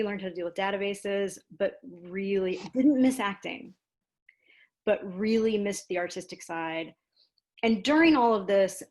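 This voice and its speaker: An American woman being interviewed, speaking in a casual, animated storytelling way with self-deprecating asides. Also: mid-range voice. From Louisiana, United States. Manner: animated, self-deprecating, acts out quoted exchanges. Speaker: interview guest, theater dramaturg and producer.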